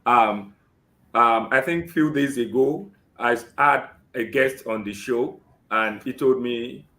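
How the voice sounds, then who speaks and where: A man's voice: mid-range voice, slow, a bit bright. Nigerian guy hosting a live job show, lots of "great" and "um", echoey room. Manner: keeps saying "great" and fills with "um". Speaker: podcast host interviewing a job seeker. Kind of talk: live online interview.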